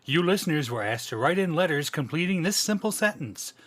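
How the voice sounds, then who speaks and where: An actor playing a jovial, polished announcer on a 1940s radio comedy show. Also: male voice, a little high, big pitch swings, a little fast, a bit bright. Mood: jovial, excited. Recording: noticeable background noise.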